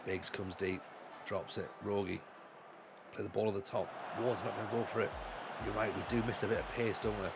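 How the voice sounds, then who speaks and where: A man's voice: lowish voice, steady tone, a little fast, very noisy. A man streaming a football management game live, thinking aloud and urging on his players, a bit congested with a cold. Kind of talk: live gameplay commentary.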